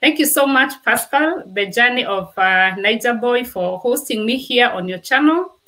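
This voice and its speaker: A woman with a fairly high, bright, forward voice. She answers interview questions earnestly, reaching for vivid everyday comparisons. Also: animated, moderate pace. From Kenya. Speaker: nurse and guest.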